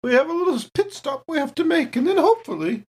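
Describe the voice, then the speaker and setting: A woman playing a polite, evasive female saboteur in a space horror roleplaying game, genteel and gently understated. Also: high voice, big pitch swings, fast, voice pushed up.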